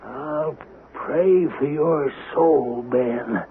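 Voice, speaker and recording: A slow, broken, proud old swindler. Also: male voice, a little high. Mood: weary, resolved. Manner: halting, impassioned, theatrical. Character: ruined, proud old swindler turned pleading derelict. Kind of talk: 1970s radio drama acting. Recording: muffled, noisy vintage radio recording.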